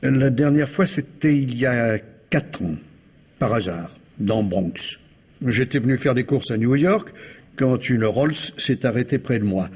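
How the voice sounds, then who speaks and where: A man's voice: medium pitch, expressive, unhurried, a little mellow, husky. An actor playing a reserved, courteous retired civil servant in his sixties in a French radio mystery, hesitant, formal and precise. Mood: wistful, bitter. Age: older.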